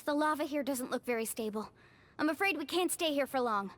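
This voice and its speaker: A woman's voice: high-pitched, animated, fairly quick, fairly bright tone, a little hiss or hum. A woman playing a friendly, cautious, level-headed young guide in a video game. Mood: cautious, firm. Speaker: expedition guide. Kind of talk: English video game voice acting.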